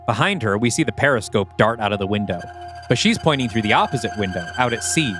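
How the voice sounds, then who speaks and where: A man's voice: lowish voice, expressive, fast, music in the background. A man reading scripted, present-tense narration for an audio play as its narrator.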